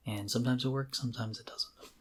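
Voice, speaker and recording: A man talks casually as a podcast co-host. His voice sits at an ordinary middle pitch. He comes across as candid and self-deprecating, speaking in a loose conversational way. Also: fairly even intonation, fast talker, breathy edge.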